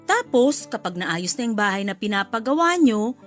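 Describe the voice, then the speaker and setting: An actress playing a warm, doting, insistent middle-aged mother in a radio drama. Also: mid-range voice, very animated, moderate pace, soft background music, natural voice. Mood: insistent, reassuring. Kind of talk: Filipino radio drama acting.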